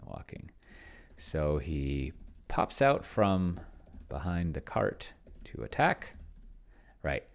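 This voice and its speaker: A man's voice: deep voice, big pitch swings, takes it slowly, creaky, long pauses. A man thinking aloud in casual commentary as he plays a roleplaying game, often muttering, trailing off and drawing words out while typing. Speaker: solo tabletop roleplayer and video host. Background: computer keyboard typing.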